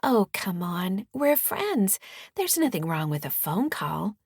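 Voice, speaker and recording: Woman doing a sneaky ex-wife in an audiobook, crooning all fake sweet, bit husky, coaxing sing-song. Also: a little high, lively intonation, brisk, darkish tone, no room sound, studio quality, voice pushed up. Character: manipulative scheming ex-wife, falsely sweet and wheedling. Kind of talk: audiobook character dialogue.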